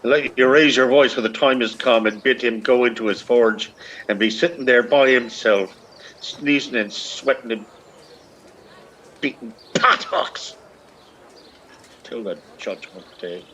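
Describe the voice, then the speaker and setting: A man's voice: medium pitch, unhurried, pauses often, fairly bright tone, a little hiss or hum, natural voice, low-quality recording. An actor playing a bitter old blind Irish beggar man in a radio play, declaiming in lilting dialect. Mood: scornful, pleading. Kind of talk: theatrical radio play acting.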